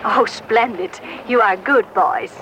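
An actress playing a polite, grateful foreign tourist in a radio drama, courteous and a little hesitant. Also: female voice, on the high side, slow. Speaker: actor. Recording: very noisy radio recording, traffic behind.

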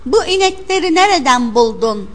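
Gullible, excitable village folk one female narrator acting, very high exclamations, storybook style, heavy reverb. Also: normal speed. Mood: amazed. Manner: loud, exclaiming. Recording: long hall-like reverb.

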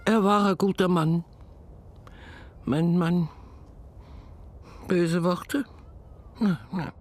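An actress playing a sorrowful, wounded widow mourning her husband in a radio crime drama, with a husky, creaky voice. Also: fairly low pitch, fairly even intonation, extremely slow, darkish tone. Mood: mournful, wounded.

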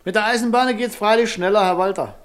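A man's voice: sits high, fast talker, natural voice. An actor playing a chatty, self-pleased village servant man in a radio play, with a dialect. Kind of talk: naturalistic German radio play acting in dialect.

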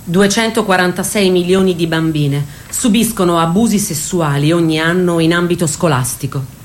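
A woman's voice: fairly low pitch, moderate pace. A woman performer reciting scripted statistics. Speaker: stage performer.

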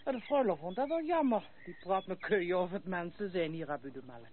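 A woman's voice: on the low side, expressive, a little fast, lightly acted. On a tinny, faintly noisy old recording, a woman plays a chatty country farmwife. She rambles on, deferential and good-natured, in folksy radio-drama style. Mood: cheerful, gossipy. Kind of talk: Dutch radio play acting.

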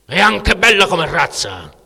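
Guy doing a worked up patriot buddy, high voice, loud n boastful, radio play, big echoey hall. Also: sing-song, a little slow, creaky, heavily acted. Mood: indignant, insistent. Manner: insistent, loud, exclamatory. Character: excitable, boastful male friend, fiercely patriotic. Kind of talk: theatrical radio monologue acting. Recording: long hall reverb.

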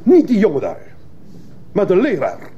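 A man's voice: high-pitched, huge pitch swings, measured pace, warm tone, husky, full character voice. An actor playing a zealous fascist father accusing others over his son's death, declamatory and indignant in a radio drama. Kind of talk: radio drama acting, courtroom oratory. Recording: muffled old radio play, faint noise.

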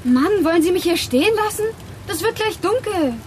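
An actress playing a brash, pushy, sharp-tongued young female hitchhiker in a radio drama, cheeky and colloquial. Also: very high-pitched, expressive, a little fast, slight background noise. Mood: pleading, pushy. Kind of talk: naturalistic German radio drama acting.

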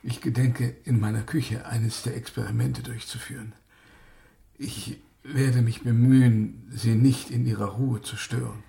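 An actor playing a courteous, secretive gentleman lodger in a radio drama, speaking formally and with measured politeness. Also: mid-range voice, flat delivery, gravelly. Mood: polite, restrained. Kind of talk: German radio drama acting.

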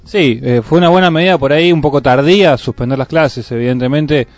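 A man's voice: fairly high pitch, a little fast, no room sound. An Argentine man hosting a radio show with unscripted commentary on the news, in a studio with music behind. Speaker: radio host. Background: faint music.

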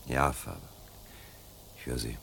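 A man's voice: rumbling low, unhurried, creaky, heavily acted. An actor playing a troubled, wavering fireman with a subdued, hesitant manner in a 1970s German radio drama. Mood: resigned. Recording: noisy vintage radio recording.

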